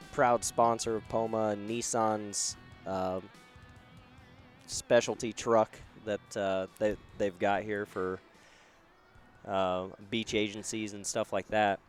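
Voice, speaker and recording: A man hosting a podcast interview at a trade show, chatting loosely with plenty of fillers. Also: fairly low pitch. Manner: loose, rambling with many fillers. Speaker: podcast host. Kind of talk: casual interview.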